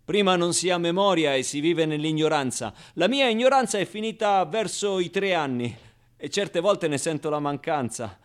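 Male stage storyteller, fairly high voice, acting out a colloquial, expressive monologue. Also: normal speed, clean tone. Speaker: actor and storyteller. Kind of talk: theatrical first-person monologue.

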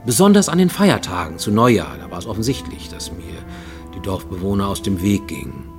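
An actor playing a haunted man who recounts childhood guilt in a halting, brooding radio-play monologue, at times sinking toward a whisper. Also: sits low, sing-song, vocal fry, darkish tone, soft background music, exaggerated voice. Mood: reflective, resigned. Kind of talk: German radio play, first-person confessional monologue.

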